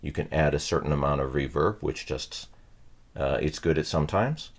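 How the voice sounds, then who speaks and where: Very deep-voiced man, casual unboxing talk. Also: normal speed. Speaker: tech channel host presenting an unboxing. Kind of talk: casual unscripted product walkthrough.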